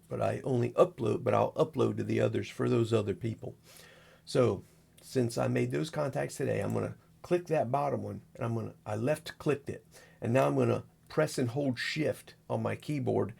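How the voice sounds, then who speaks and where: A man with a gravelly, husky voice giving a casual, unscripted walkthrough as a video host, rambling in a folksy way and narrating each step as he goes. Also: mid-range voice, darkish tone. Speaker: amateur radio operator, video host.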